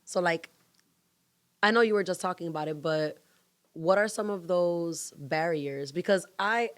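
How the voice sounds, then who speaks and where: A woman co-hosting a casual podcast, direct and a little teasing as she probes her guest. Her voice sits lower than most women's. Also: measured pace. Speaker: podcast co-host.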